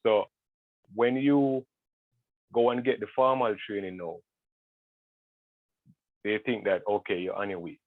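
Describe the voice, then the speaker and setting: A man's voice: fairly low pitch, steady tone, unhurried, long pauses, no echo. A young man with a Jamaican accent, a guest artist talking in a video call interview, hesitant with many ums and restarts. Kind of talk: casual interview talk. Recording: tinny phone-like call audio.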